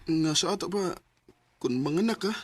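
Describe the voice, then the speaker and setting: An actor playing a callous, hard-drinking, quick-tempered husband in a Visayan radio drama, sneering and blustering in a Cebuano Bisaya accent. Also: male voice, on the high side, lively intonation. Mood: dismissive, scornful.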